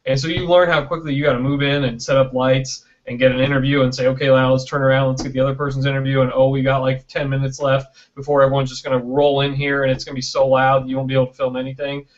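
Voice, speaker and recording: American guy talking shop casually on a dull sounding internet call, average pitch, chatty. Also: little pitch movement, quick pace, hardly any pauses. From Michigan, United States. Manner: conversational, peppers talk with "you know" and "right?", acts out imagined quotes. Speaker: interview guest, film producer and director. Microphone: online video call.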